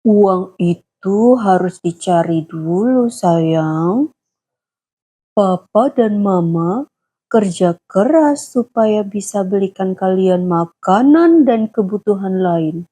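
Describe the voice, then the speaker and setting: Woman as a gentle storybook father, patient, very slow, mellow, husky. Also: mid-range voice, lively intonation. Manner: slow, soft, explaining. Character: gentle patient father teaching his daughters. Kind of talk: storybook dialogue.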